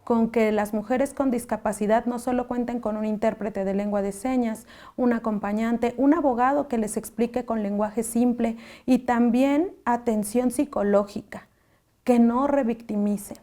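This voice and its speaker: Higher-voiced, mellow woman advocate. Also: steady tone. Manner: measured, listing demands. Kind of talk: documentary interview statement.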